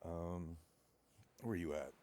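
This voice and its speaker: Soft-spoken low-voiced man getting over flu. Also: slow pace, slight background noise. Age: adult with a full-time job and family. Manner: talks softly on purpose, hesitant with many fillers. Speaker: comic and game creator hosting his channel. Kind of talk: casual talk to camera. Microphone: own microphone, home recording.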